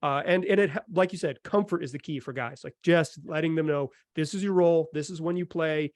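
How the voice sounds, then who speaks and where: Higher-voiced man, casual sports podcast host. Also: a little fast, no echo, clean studio sound. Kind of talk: casual sports talk and analysis.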